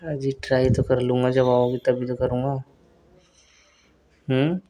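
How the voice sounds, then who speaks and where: A man's voice: medium pitch, monotone, unhurried, warm tone, a little hiss or hum, natural voice. A man playing a flirty brother-in-law who teases and coaxes in casual talk on a recorded phone call. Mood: teasing, coaxing. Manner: teasing, coaxing, suggestive.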